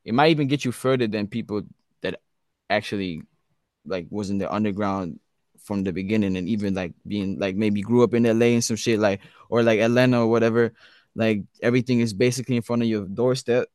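Young raised male guest, relaxed slangy chat, low clear voice. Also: fairly quick, no echo, clean studio sound. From Switzerland. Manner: laid-back slang, says "bro" often. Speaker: guest artist. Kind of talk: casual interview chat.

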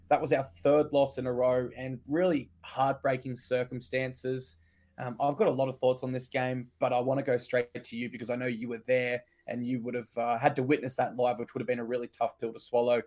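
A man co-hosting a sports podcast, his voice hoarse and still recovering, reeling off stats with enthusiasm. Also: mid-range voice, warm tone. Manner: enthusiastic, stat-heavy delivery. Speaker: podcast co-host. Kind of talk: casual sports podcast chat.

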